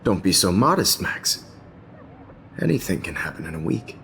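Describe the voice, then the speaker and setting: A deep, stammering art teacher. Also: male voice, big pitch swings, moderate pace, gravelly, a bit bright, slight background noise, exaggerated voice. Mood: flustered. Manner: hesitant, stammering, mild-mannered. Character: smooth-talking male art teacher, secretly sinister. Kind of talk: video game voice acting.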